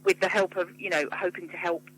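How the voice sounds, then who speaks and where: Bright-voiced, passionate English woman. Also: on the low side, little pitch movement, fairly quick, slightly rough, low-quality recording. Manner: passionate, emphatic. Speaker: interview guest, mental health charity founder and psychotherapist. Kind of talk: radio interview.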